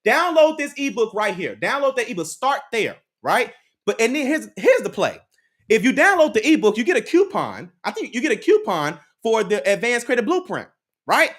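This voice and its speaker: A man hosting a livestream speaks with a very animated voice that swings high and low. He drives each point home by repeating it, talks straight to the chat and laughs now and then. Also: moderate pace. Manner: preachy, repeats key lines, laughs often, talks to the chat. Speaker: credit advice livestream host. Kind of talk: live motivational chat with viewers.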